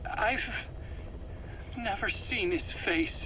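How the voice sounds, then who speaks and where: High, very slow, anguished captive. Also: male voice, pushed and bright, slight background noise, heavily acted, low-quality recording. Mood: anguished, halting. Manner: halting, stammering. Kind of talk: dramatic game dialogue.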